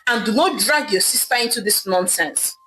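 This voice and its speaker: A woman's voice: mid-range voice, animated, moderate pace, faint music behind, low-quality recording. A woman voicing an angry, fed-up husband. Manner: shouting angrily. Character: wronged husband, fed up and fuming.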